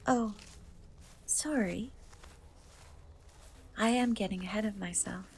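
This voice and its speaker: A woman playing a gentle, wistful young woman cursed into a half-cat, in a soft, hesitant dark fantasy ASMR roleplay. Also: medium pitch, slight background noise. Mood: apologetic, reassuring. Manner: hesitant, soft, slow, often trailing off.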